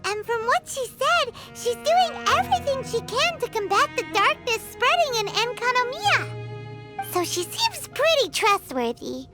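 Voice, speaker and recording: A woman voicing a tiny, chirpy, childlike fairy companion in a video game, with an excitable manner. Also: very high voice, unhurried, heavily acted. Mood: explaining, upbeat. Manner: speaks of self in third person, excitable. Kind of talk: video game character dialogue. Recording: loud music over the voice.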